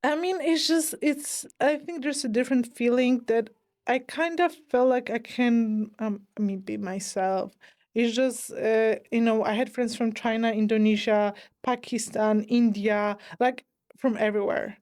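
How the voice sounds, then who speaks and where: A woman's voice: fairly high pitch, unhurried, a little mellow. A young woman from Greece, a guest on a podcast, talking casually with many fillers and restarts. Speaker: guest, former athlete.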